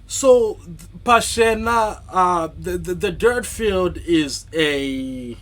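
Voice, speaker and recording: A man in his thirties from Zimbabwe, an author answering interview questions in a halting, thoughtful way with many restarts. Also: high-pitched, animated, fairly bright tone. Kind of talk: conversational interview.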